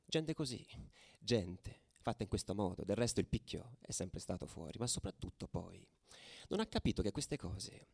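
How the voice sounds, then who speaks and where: An actor playing a hardened, streetwise football ultra, delivering an intense, colloquial radio drama monologue in a gravelly voice. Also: male voice, on the low side, big pitch swings, moderate pace, a little mellow, full character voice. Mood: grim, confiding. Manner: colloquial, brooding, swinging between murmur and agitated outburst. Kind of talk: theatrical radio monologue, narrating.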